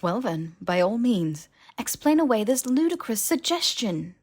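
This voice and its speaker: A woman voicing a clever, anxious young woman in an audiobook, brisk and incredulous as she lectures a friend. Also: on the high side, sing-song, darkish tone, pitched above the performer's own voice. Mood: incredulous, scolding. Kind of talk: dramatized audiobook dialogue.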